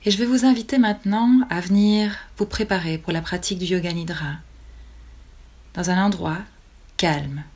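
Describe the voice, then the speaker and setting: A woman leading a guided relaxation as a yoga nidra teacher, calmly and soothingly, with long pauses between short phrases. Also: fairly low pitch.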